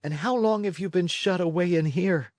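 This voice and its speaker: A man's voice: sits high, moderate pace, a little mellow, no room sound, lightly acted. A man voicing an eager, earnest and plucky wooden puppet boy in a storybook read-along. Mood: curious, urgent.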